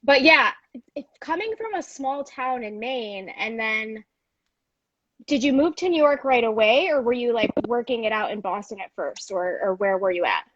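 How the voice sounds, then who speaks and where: A young adult woman from Peru hosting a live video-call interview in a fairly high, dry voice. She sounds bubbly and chatty, giggling easily between questions. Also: lively intonation, moderate pace, dry recording, dull audio. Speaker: comedy club interviewer. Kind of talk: casual live-stream interview.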